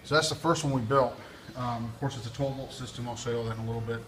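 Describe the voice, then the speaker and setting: Flat-toned man over background noise. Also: medium pitch, very fast. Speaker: video presenter, DJ and PA technician. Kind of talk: casual off-the-cuff equipment walkthrough. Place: indoors, brick-walled workshop building. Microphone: handheld camera or phone, speaker off screen. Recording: noisy handheld camera audio with room ambience.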